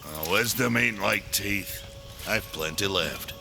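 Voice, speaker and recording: An actor playing a gruff, proud old warrior of a fantasy maker race in a video game, wry and challenging. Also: male voice, low voice, slow pace, exaggerated voice. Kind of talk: dramatic fantasy game acting. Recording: loud music behind the voice.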